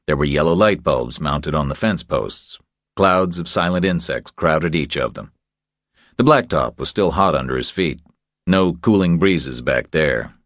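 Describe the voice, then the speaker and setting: A man's voice: low voice, no echo. A man reading scripted crime fiction as an audiobook narrator, close on the microphone.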